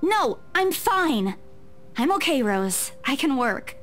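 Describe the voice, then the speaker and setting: A woman's voice: high-pitched, sing-song, takes it slowly, fairly bright tone, slight background noise, voice pushed up, heavily acted. A woman voicing a dazed young female realtor who hesitates and stammers after a fall. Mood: insistent.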